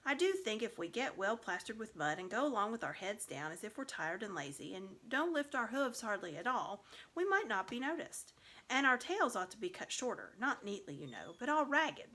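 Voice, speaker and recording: A woman voicing a humble, gentle, sensible talking mare, meek and earnest, in a storybook reading. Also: on the high side, big pitch swings, moderate pace, exaggerated voice. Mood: earnest, apologetic.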